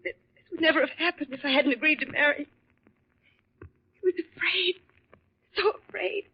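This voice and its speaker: An actress playing an anxious, pleading young bride-to-be in a vintage radio thriller. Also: female voice, very high-pitched, moderate pace. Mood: fearful. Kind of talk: 1940s radio thriller acting. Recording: muffled vintage radio recording.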